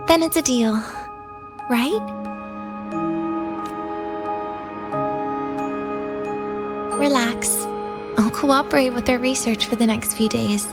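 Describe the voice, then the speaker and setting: An actress playing a guarded, world-weary young woman student in a video game, wry and resigned. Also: on the high side. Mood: wry, dismissive. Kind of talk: English video game voice acting.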